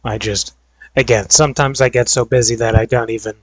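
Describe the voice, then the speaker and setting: A man's voice: mid-range voice, steady tone, fairly quick, no room sound. Young man with a tiring voice. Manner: rambling, filler-heavy and hedging. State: voice going hoarse. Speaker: video creator. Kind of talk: casual gaming vlog chat.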